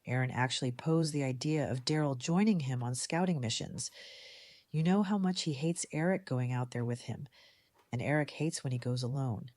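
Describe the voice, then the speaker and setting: An actress playing a shrewd, composed female community leader in an audio drama, measured and articulate in manner. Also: a bass voice, a little mellow, no echo, studio quality. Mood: businesslike. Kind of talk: fan audio drama acting.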